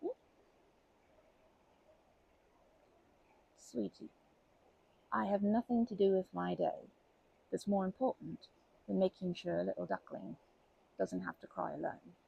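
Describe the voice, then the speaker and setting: A woman playing a kindly, protective grandma in an ASMR comfort roleplay, speaking gently and hesitantly with fond pet names. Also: medium pitch, fairly even intonation, moderate pace, long pauses, highs cut off. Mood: tender, encouraging. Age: older. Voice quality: creaky, husky.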